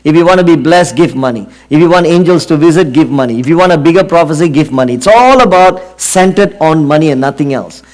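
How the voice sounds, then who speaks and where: Give me a middle-aged Singaporean man giving an earnest sermon in a fairly high voice. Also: expressive, darkish tone. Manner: earnest, drawn-out emphasis with frequent fillers. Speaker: preacher.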